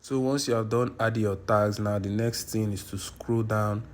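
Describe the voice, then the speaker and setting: A man's voice: lowish voice, fairly even intonation, normal speed. A man from Nigeria, walking through a screen-recorded tutorial at his computer, repeating himself and correcting his own words as he goes. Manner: repetitive, insistent, self-correcting. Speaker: YouTube tutorial creator. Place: indoors at a computer.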